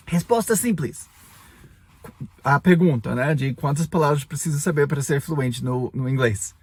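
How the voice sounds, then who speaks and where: A man's voice: on the high side, darkish tone, no echo. A native English-speaking man in his forties, an English teacher giving a chatty podcast lesson in Portuguese, often tagging his sentences with little check-ins. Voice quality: gravelly, husky.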